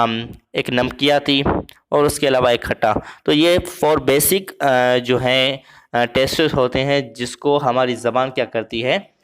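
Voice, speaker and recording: Slow, halting male biology teacher. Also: mid-range voice, smooth. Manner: slow, halting, many fillers, repeats points in simple terms; reads English lines slowly, then explains them. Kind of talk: biology lesson explanation.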